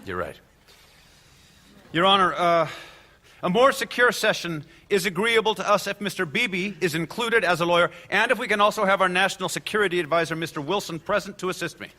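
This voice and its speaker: An actor playing an impatient defense lawyer who presses a witness and objects to the delay. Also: male voice, high-pitched, expressive, a little fast, bright, forceful tone. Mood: pressing. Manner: indignant, pressing.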